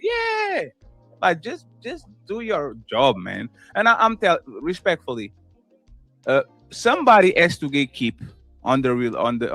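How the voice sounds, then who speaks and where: A man with a Portuguese accent giving his opinions on a podcast, animated, swinging between loud and soft and acting out quotes. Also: sits high, no room sound. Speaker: podcast co-host. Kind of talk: casual opinion talk.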